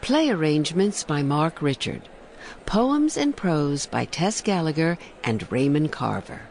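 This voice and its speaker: Casting: announcer for audio theatre intros, gravelly edge, animated phrasing, polished formal read, slight background ambience. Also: mid-range voice, unhurried, a little hiss or hum.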